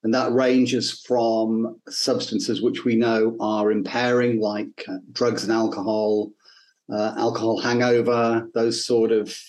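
A man's voice: fairly low pitch, little pitch movement, darkish tone. A middle-aged man with an English accent giving thoughtful, hesitant answers as an academic interview guest. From north and Midlands of England, UK. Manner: hesitant, thoughtful, measured explaining. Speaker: guest, neuroscience professor.

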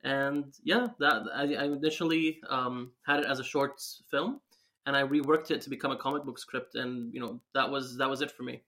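A man's voice: medium pitch, fairly even intonation, moderate pace, pushed and bright. A man in his twenties from Syria, a guest talking eagerly with a light laugh about how he got into making comics. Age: 20s. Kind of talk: casual interview chat.

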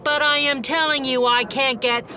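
An actress playing a brisk, bossy editor-in-chief with clipped, commanding delivery in a 1930s-style radio play. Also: female voice, sits high, fairly even intonation, pushed and bright, slight background noise. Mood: brisk, insistent.

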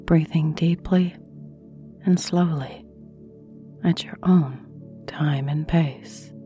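A woman narrating a guided sleep meditation, soothing, with long pauses and phrases that trail into near-whispers. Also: sits low, dark tone, faint music behind.

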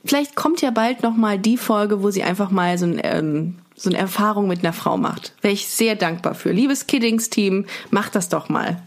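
A woman's voice: mid-range voice, moderate pace, hardly any pauses. A woman hosting a casual podcast chat, speaking informally and often searching for her phrasing. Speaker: podcast host.

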